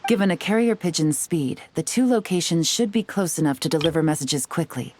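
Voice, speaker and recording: Low-voiced, composed woman investigator. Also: expressive, brisk, darkish tone, a little hiss or hum. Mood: measured, focused. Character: composed, shrewd female investigator giving instructions. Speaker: video game character, investigator. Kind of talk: scripted game dialogue.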